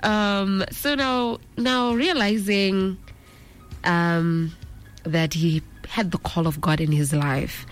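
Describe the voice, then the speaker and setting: A woman's voice: medium pitch, steady tone, slow, clean tone, faint music behind. A young woman, interviewing a guest casually and often murmuring agreement. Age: 20s.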